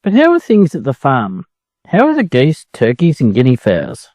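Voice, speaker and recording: A man's voice: mid-range voice, huge pitch swings, normal speed, a little mellow, no echo, lo-fi sound. An Australian man voicing a sociable, mildly strict mother duck in a storybook reading. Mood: excited, gossipy. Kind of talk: storybook character voice.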